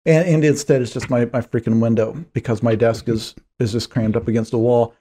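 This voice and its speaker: A hoarse man in his forties, a guest author, chatting in a rambling, self-deprecating way on a video call from a small home office. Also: mid-range voice, fairly even intonation, brisk, dark tone. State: hoarse, strained voice. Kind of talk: video call podcast chat. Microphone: headphones, desk mic on video call.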